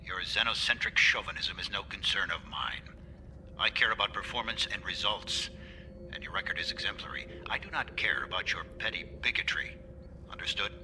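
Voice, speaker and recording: A cold alien commander played by a man in a space opera audio drama. He speaks formally with icy authority in a lowish voice, over clearly audible ship noise. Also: animated, a little fast, lightly acted. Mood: cold, reproving.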